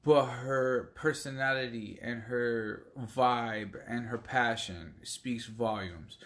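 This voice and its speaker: Upbeat male podcast host. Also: medium pitch. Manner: upbeat, gives shout-outs, slows for emphasis. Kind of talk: casual podcast chat.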